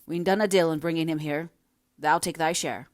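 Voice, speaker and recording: A woman's voice: sits low, fairly even intonation, moderate pace, no room sound, studio quality, natural voice. Woman acting a blunt, emphatic working man. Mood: urgent. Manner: emphatic northern English dialect speech. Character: blunt, practical working men of a northern mill town.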